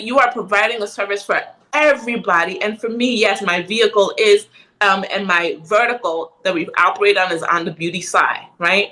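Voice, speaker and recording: Middle-aged woman from Ghana, podcast guest, animated and conversational, with a bright tone. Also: medium pitch. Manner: animated, frequent "right?" tag questions. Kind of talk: casual interview chat.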